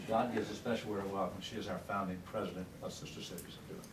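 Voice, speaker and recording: A man's voice: lowish voice, huge pitch swings, normal speed, crowd noise. A man moderating, jovially introducing the next speakers. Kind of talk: introducing guests. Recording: echoey room from far off, noisy.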